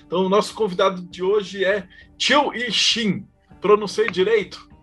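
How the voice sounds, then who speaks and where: A man's voice: high voice, steady tone, normal speed, a bit bright, slightly rough, soft background music. A man with a Brazilian accent hosting a casual chat show, talking informally and chattily to his guest and audience. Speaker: host.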